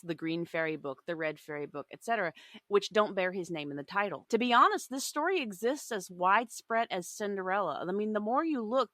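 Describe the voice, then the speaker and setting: A woman's voice: mid-range voice, a bit bright, no room sound. Woman co-hosting, close and dry sound. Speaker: podcast co-host. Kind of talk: podcast discussion mixing prepared notes with casual chat.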